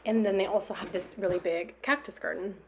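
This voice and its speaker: A young woman with a hoarse voice, chatting casually over her own planner video, rambling with lots of fillers and small laughs. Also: medium pitch, steady tone, a little fast, a little hiss or hum. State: extra hoarse after a workout. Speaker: planner vlogger.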